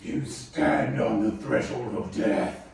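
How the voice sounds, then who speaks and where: A man's voice: medium pitch, slow, creaky, a little mellow. A man playing a menacing, regal headless king of death at a fantasy script table read. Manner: theatrical, menacing. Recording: very echoey room, far from mic.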